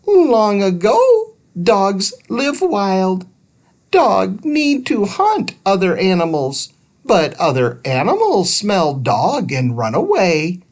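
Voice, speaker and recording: A man's voice: high voice, pitched above the performer's own voice, full character voice. A man voicing a small, earnest robot in a children's storybook, speaking in simple broken grammar with beeping interjections.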